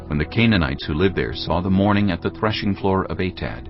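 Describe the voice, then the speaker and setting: A man with a deep voice narrates scripture as a solemn story. His delivery moves widely in pitch, and music plays softly behind the slightly dull recording. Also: animated, brisk.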